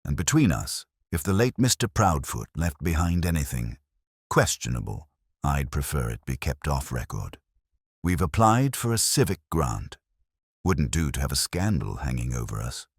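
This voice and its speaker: A fussy, flustered middle-aged town councillor, as a male reader puts him on, pompous and wheedling. His voice is extremely low and husky, with a wide, lively swing in pitch. It is heard close and dry. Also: moderate pace, no room sound, exaggerated voice.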